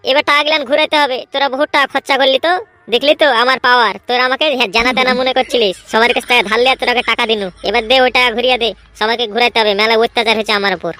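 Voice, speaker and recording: A woman's voice: fairly high pitch, fairly even intonation, racing, sharp tone, dry recording. A woman voicing a boastful young village lad in a comedy cartoon, with colloquial, argumentative speech. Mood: demanding. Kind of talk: Bengali comedy cartoon voice acting.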